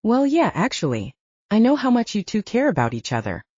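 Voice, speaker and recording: A woman's voice: medium pitch, wildly swooping pitch, fairly quick, warm tone, husky, no room sound, lo-fi sound, pitched above the performer's own voice. A woman voicing a smitten young man in a romance audiobook, teasing and affectionate. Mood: tentative.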